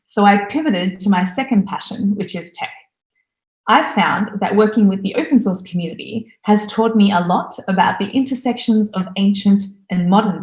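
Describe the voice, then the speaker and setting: A woman in her thirties, from Australia, giving a recorded conference talk from home in an eager, lively way. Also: medium pitch, echoey room, poor audio. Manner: enthusiastic, lively presenter. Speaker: conference speaker.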